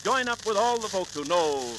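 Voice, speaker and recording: A man delivering a hearty, emphatic sponsor pitch as a 1930s radio announcer, in a folksy style. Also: animated, normal speed. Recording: crackly, noisy 1938 radio transcription disc.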